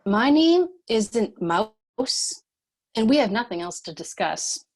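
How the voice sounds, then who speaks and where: A woman's voice: a little high, sing-song, slow pace, heavily acted. An actress in a screenplay table read playing a tough, defiant, protective female bounty cop with a firm, curt manner. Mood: defiant sarcasm.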